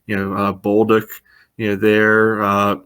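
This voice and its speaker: Low, flat-toned man talking sports. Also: smooth. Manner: halting, repeatedly peppers speech with "you know". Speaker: podcast host. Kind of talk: casual sports analysis chat.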